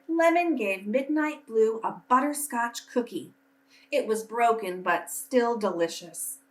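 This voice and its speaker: A woman reading a children's story aloud expressively, with deliberate phrasing meant for young listeners. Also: fairly high pitch, fairly bright tone. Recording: roomy echo, distant microphone.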